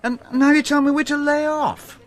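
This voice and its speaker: A man's voice: very high-pitched, fairly even intonation, normal speed. A man acting an exasperated, dry-witted middle-aged police detective in a radio drama, wry and sometimes hesitant.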